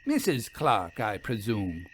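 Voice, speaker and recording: A man's voice: on the low side, sing-song, takes it slowly, slight background noise. A man voicing a genial, self-assured lady ghost hunter with a genteel, wry manner, in a storybook reading.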